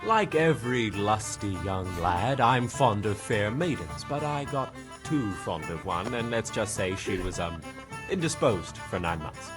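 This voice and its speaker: A man's voice: fairly low pitch, expressive, unhurried, over music. An actor playing a roguish, lusty young nobleman in a game, talking in a florid, wry way. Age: young adult. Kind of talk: video game cutscene acting.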